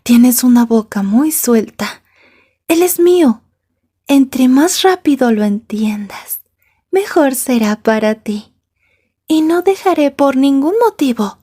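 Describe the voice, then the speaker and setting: A woman playing a possessive, jealous yandere schoolgirl in an ASMR roleplay, soft and breathy, taunting and slipping into whispers. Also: sits high, animated, slow pace, pauses often. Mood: possessive, threatening.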